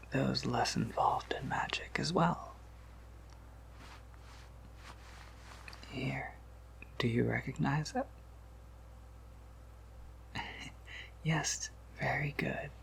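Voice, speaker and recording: Vampire apothecary, played by a man: gentle, considerate ASMR roleplay whisper with heavy fry, unhurried and faintly absent-minded. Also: exaggerated voice, low-quality recording. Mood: inviting, reassuring. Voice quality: breathy whisper breaking into creaky low murmur. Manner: gentle, considerate, hesitant with small asides. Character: soft-spoken, polite, slightly scatterbrained male vampire apothecary shopkeeper.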